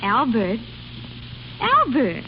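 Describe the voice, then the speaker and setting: A saucy young lady's maid in an old radio comedy. She is coy and pouting, with a very lively, high voice. The sound is muffled like an aged broadcast. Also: extremely slow, over loud music, exaggerated voice. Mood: jealous, pert. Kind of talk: 1930s-40s American radio comedy acting.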